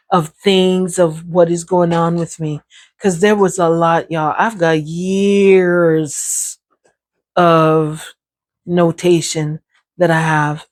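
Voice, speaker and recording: Woman, casual vlog talk, slightly hoarse, lowish voice, dry close sound. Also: no echo. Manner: chatty, talks straight to viewers as "y'all", quick laughs. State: voice getting sore and hoarse. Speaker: channel host sharing her brain injury experience.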